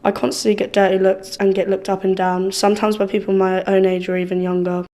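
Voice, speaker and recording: A woman's voice: medium pitch, level tone, fairly quick, a little mellow. A 20-year-old woman, speaking bluntly in personal testimony for a short film. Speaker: young film participant.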